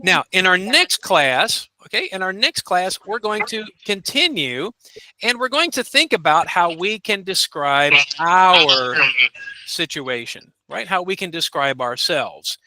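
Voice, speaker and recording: Bright, lively male ESL teacher. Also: high voice, poor audio. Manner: patient, models phrases slowly for students. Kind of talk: encouraging classroom instruction.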